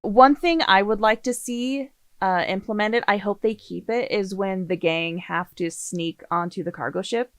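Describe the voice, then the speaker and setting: A woman's voice: medium pitch, normal speed, hardly any pauses, no room sound. A woman chatting casually as a podcast panelist, an enthusiastic and knowledgeable fan.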